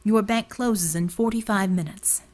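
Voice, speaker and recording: A woman voicing a cryptic, demanding informant in an audiobook, delicate and breathy, speaking in a carefully neutral, measured way. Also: mid-range voice, a little mellow, close to an everyday voice. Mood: coolly neutral. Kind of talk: audiobook character dialogue.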